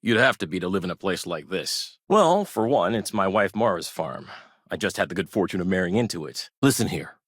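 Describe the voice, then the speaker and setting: Video game colony farmer, stern guarded family man. Lowish register, very animated swings, plain folksy manners. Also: a little fast, no echo, clean studio sound. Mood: earnest, matter-of-fact. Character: protective, wary traditionalist farmer and family man in a space colony. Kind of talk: video game character dialogue.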